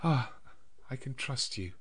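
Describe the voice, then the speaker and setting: A man voicing a frail, gentle young woman in an audiobook, earnest and sweetly trusting. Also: mid-range voice, lively intonation, slow pace, husky, pitched above the performer's own voice, exaggerated voice. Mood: relieved, grateful. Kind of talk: dramatised audiobook character dialogue.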